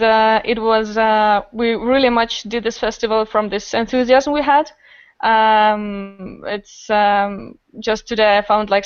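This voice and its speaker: A woman's voice: medium pitch, clean tone, no room sound, muffled recording. A woman with an Estonian accent telling stories casually and enthusiastically in a group conversation. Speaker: podcast guest, improviser and festival organiser.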